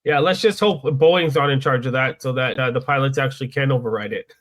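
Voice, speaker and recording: A man's voice: medium pitch, a little fast, fairly bright tone. A man co-hosting a podcast, chatting casually in an agreeable way as he offers and clarifies his views. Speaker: podcast co-host.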